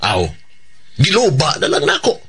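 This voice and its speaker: A man's voice: sits high, wildly swooping pitch, measured pace, creaky, fairly bright tone, a little hiss or hum, full character voice. A man with a Cebuano Filipino accent playing a cheerful hired killer in a radio drama, deferential to his bosses and chuckling between sentences. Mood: jovial, deferential.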